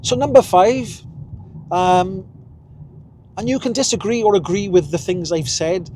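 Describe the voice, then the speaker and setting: Welsh man in his 50s, higher voice, rambling car-vlog chat, faint road hum behind. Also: unhurried, a little mellow. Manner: chatty, rambling, chuckles at himself. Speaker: vlogger. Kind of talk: casual driving vlog. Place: inside a car while driving.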